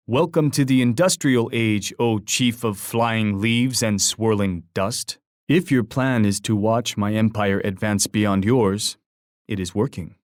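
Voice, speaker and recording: An actor playing a proud, warlike chief in a video game, speaking in solemn, formal, poetic oratory. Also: male voice, fairly low pitch, a little mellow, no room sound, studio quality, lightly acted. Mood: boastful, triumphant. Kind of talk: scripted video game character voice lines.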